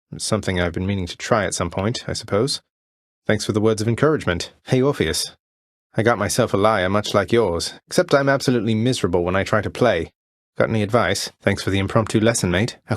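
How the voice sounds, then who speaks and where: A man playing a young underworld prince in a video game, friendly, courteous and gently wry. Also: lowish voice, dry recording, studio quality, lightly acted.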